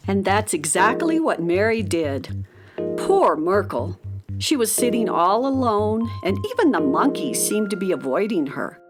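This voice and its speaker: A woman's voice: mid-range voice, very animated, unhurried, over music. A woman narrator reading a scripted children's story.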